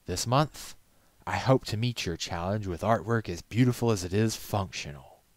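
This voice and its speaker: A man's voice: on the low side, moderate pace, dry recording, voice pushed up, lightly acted. A man voicing a proud, confident male pony glassblower in a storybook reading, earnest and assured.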